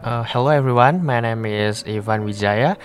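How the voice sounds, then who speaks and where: A man's voice: medium pitch, normal speed, soft background music. A young man with an Indonesian accent, a student guest speaking somewhat hesitantly in a studio interview. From Jakarta, Indonesia. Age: young adult.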